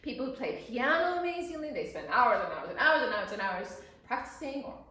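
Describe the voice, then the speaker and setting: Animated woman teaching in an echoey room. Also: on the high side, brisk. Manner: expressive, playfully emphatic. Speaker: guest storyteller and children's teacher. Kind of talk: explanatory chat for children.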